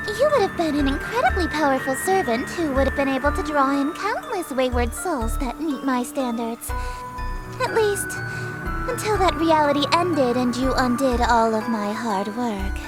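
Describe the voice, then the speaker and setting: A woman playing a haughty, theatrical eldritch goddess of chaos in a visual novel game, grandiose, imperious and melodramatic. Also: sits high. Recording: music behind the voice.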